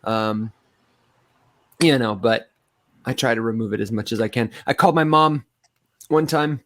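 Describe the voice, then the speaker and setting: Man, nasal and reedy, slight Michigan accent, mid pitch, dry close sound, lively storyteller. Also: normal speed, no room sound. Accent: Michigan, mostly neutral American. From Las Vegas, raised in Michigan. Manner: animated storyteller, laughs often. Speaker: co-host. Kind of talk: casual chat.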